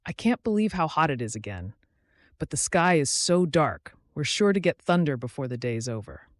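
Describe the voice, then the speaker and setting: A man voicing a warm, eager young woman with an affectionate, earnest manner in an audiobook. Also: a little high, very animated, normal speed, no room sound, studio quality. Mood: friendly.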